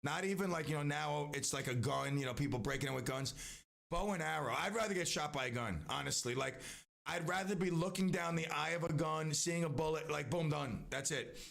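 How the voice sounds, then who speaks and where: A man's voice: on the high side, fairly quick, vocal fry, a bit bright. A man hosting a live stream, talking in a casual, rambling way with many restarts and asides.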